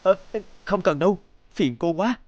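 An actor playing an earnest, easily startled young woodcutter who exclaims and wonders aloud in a children's fairy-tale cartoon. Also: male voice, high voice, normal speed, dull audio, natural voice. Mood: polite.

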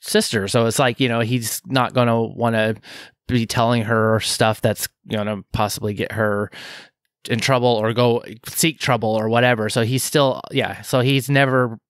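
A man co-hosting a casual podcast, talking in halting stops and starts with lots of fillers. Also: mid-range voice, level tone, no echo. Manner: halting, many fillers and restarts. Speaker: podcast co-host.

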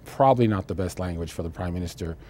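An older man giving measured, explanatory analysis as an expert in a studio interview. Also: low-pitched, a little mellow, a little hiss or hum. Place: indoor studio, seated interview.

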